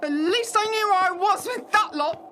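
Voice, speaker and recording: A woman's voice: very high-pitched, moderate pace, sharp tone, a little hiss or hum. A woman playing a skeptical, sardonic assistant hotel manager from London in a radio play, sometimes hesitant when flustered. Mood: dismissive, sarcastic. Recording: music behind the voice.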